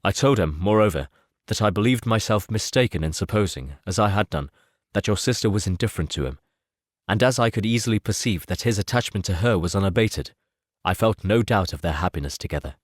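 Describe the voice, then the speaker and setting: Man acting a composed, formal gentleman suitor. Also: lowish voice, animated, no room sound, studio quality. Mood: calm, assured. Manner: measured, formal. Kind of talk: audiobook character dialogue.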